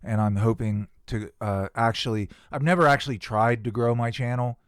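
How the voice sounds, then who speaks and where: A man at a mid pitch reads a biography aloud in a close, dry recording. He keeps a steady reading pace and breaks off for casual asides, and his voice sounds strained, as if it is starting to go. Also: normal speed, clear, resonant tone, dry recording. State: losing his voice. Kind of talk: book reading with commentary.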